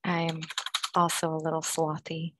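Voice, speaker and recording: A woman's voice: low-pitched, monotone, measured pace, noisy background. A woman giving an informal presentation at an online conference session, speaking somewhat hesitantly with frequent fillers. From Los Angeles, California. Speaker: presenter, student organization advisor.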